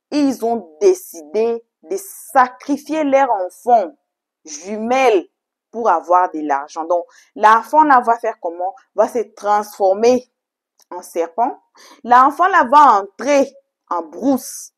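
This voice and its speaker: A woman whose first language is English, chatting to viewers as a channel host in an emphatic, repetitive way. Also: mid-range voice. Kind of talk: casual, chatty introduction to story videos.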